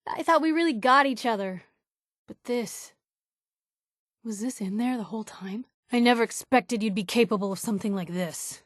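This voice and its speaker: An actress playing a blunt, caring young woman reporter in a video game, speaking in a casual, wry and emotionally expressive way. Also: fairly high pitch, normal speed, no echo, clean studio sound, lightly acted. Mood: exasperated, hurt. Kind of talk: video game character dialogue.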